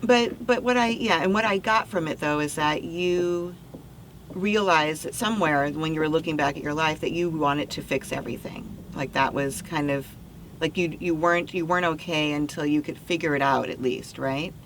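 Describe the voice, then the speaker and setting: A woman with a slightly low voice leads an interview, asking follow-ups and answering her guest with quick yeahs and mm-hmms. She stays casual and engaged, with faint noise in the background. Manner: engaged listener, frequent short affirmations, asks follow-ups. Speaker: podcast host.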